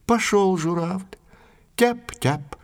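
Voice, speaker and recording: Slow, expressive male fairy tale narrator. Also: high voice. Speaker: storyteller.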